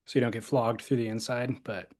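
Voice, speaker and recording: A man's voice: mid-range voice, level tone, brisk, a little mellow. Easygoing male podcast host. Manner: easygoing, conversational with fillers. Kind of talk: casual chat.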